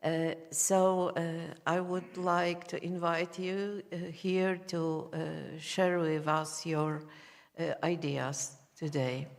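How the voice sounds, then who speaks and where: A woman moderating a conference, introducing speakers hesitantly with many filled pauses. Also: low-pitched, level tone, creaky, in a large hall. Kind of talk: formal introduction.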